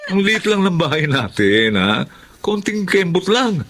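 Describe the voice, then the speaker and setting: Agitated, high-pitched Filipino husband. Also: male voice, sing-song, a little slow, a bit bright, exaggerated voice. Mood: irritated. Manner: agitated, insistent, repeats himself. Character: irritable middle-aged husband, compulsive, anguished and restless. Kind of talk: radio drama acting.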